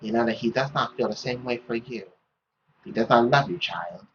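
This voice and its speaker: A man's voice: mid-range voice, normal speed, dull audio, slight background noise, pitched below the performer's own voice, natural voice. A man voicing a stern, mournful mother in a visual novel, solemn and formal. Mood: cold warning.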